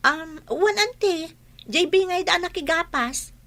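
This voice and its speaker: A woman's voice: extremely high pitch, unhurried, bright, forceful tone, full character voice. An actress with an Ilocano accent playing an earnest, respectful young female student in a radio drama. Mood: polite.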